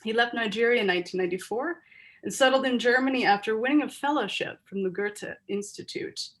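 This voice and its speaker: A woman's voice: a little high, lively intonation, fairly quick, fairly bright tone. A woman moderating an online literary panel over a video call. She gives earnest, gushing introductions full of ums.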